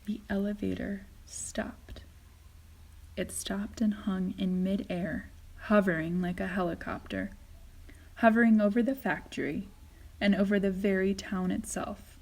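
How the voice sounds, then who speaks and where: A woman reading a children's story aloud as a teacher would, with expressive, deliberate narration. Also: mid-range voice, gravelly.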